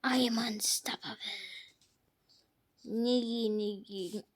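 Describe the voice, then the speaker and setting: A woman giving jokey gameplay commentary, trash-talking the game characters and swinging from hushed mutters to sudden loud outbursts. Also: fairly high pitch, fairly even intonation, takes it slowly, background noise. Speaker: gamer.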